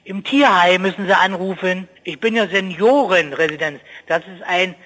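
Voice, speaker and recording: A watchman speaks on the phone in a high, piercing voice. The man is plain-spoken and colloquial, and tends to repeat himself in short refusals. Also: moderate pace, pushed and bright. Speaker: night watchman at a retirement home. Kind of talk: phone conversation. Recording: phone call.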